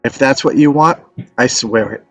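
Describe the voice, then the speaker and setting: A man's voice: mid-range voice, moderate pace, creaky, no echo, faint music behind, lightly acted. A man playing an earnest, lovestruck and stubborn young romantic in a screenplay table read, speaking sincerely and wistfully.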